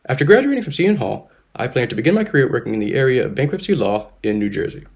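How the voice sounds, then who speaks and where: Lively fast-talking young man, formal. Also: mid-range voice. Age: 20s. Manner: polished, formal reading. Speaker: law student, scholarship recipient. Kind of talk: reading a prepared thank-you statement.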